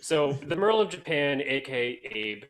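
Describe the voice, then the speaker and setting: A man hosting a casual podcast, telling stories in a halting, jokey way. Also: on the high side, sing-song, a bit bright, a little hiss or hum. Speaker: podcast host.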